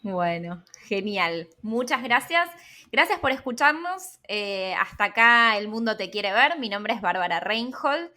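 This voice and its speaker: A woman with an Argentine accent hosts a casual interview. Her voice is clearly bright and sits at an average pitch. She talks informally, pausing and repeating words as she finds her phrasing. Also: expressive. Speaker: podcast host, interviewer.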